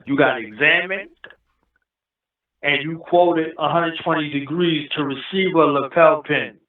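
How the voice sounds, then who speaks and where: A man's voice: fairly high pitch, a little slow. A man from Newark who grew up speaking Arabic, explaining things at length as a guest and often asking whether the listener understands. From Newark, New Jersey. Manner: explains at length, keeps asking "you understand what I'm saying". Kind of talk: casual interview talk. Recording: echoey, distant phone-line audio.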